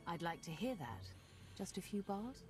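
An actress playing a self-assured female dark warrior in a video game, speaking in a cool, measured, resolute way. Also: lowish voice, lively intonation, natural voice. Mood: cool, dry. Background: music.

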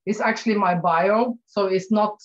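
A woman's voice: medium pitch, moderate pace, dull audio. A woman in her fifties from Norway, a non-native English speaker, talking hesitantly with many fillers as an interview guest. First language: Norwegian. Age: 50s.

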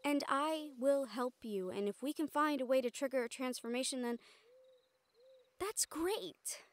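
A woman's voice: sits high, a little slow, dry recording. A woman acting a caring, encouraging siren-like mentor in an intimate audio roleplay, with a tender, earnest manner. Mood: earnest, insistent.

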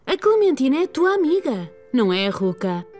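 A woman's voice: high voice, big pitch swings, moderate pace, a little mellow. A woman voicing a patient, caring mother with a reassuring manner and European Portuguese accent in a children's cartoon. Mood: gentle concern. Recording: faint music behind the voice.

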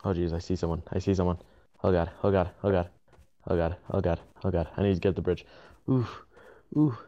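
Low-voiced young man gaming, muffled mic. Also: fairly even intonation, a little fast, warm tone. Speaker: gamer recording gameplay with a friend. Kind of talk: casual gaming chat.

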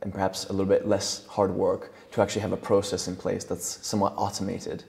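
A young man with a low gravelly voice. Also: completely flat delivery, brisk, darkish tone, in a large hall. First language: Italian. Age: young adult. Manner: thoughtful, gestures while talking, frequent restarts. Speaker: guest coach and trainer. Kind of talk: relaxed interview talk. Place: studio set with leather sofas and brick wall. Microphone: lapel mic.

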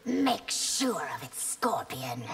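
A woman's voice: mid-range voice, big pitch swings, slow, creaky, husky, exaggerated voice. A woman playing a haughty, threatening young empress in a fighting game, imperious and taunting. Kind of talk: dramatic fighting game dialogue.

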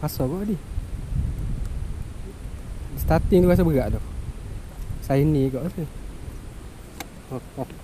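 A Malaysian man on a kayak at sea talks to his viewers in a slightly high voice while he fishes. He mutters to himself, then breaks into excited exclamations. His action camera picks up a lot of wind and water noise around him. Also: slow, pauses often. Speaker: kayak fishing vlogger. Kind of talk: casual running commentary while fishing. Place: kayak on open sea outdoors. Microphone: head-mounted action camera. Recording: noisy outdoor action-camera audio with wind.

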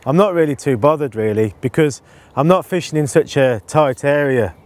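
A man in his forties explaining his canal fishing setup in a relaxed, casual way, with frequent ums, recorded outdoors on a lapel mic. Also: on the high side, normal speed. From England. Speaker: angling presenter. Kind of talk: casual instructional talk to camera.

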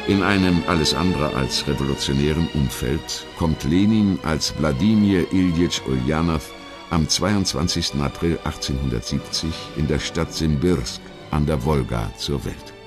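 Deep-voiced male documentary narrator. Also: normal speed, over music. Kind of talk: scripted historical narration.

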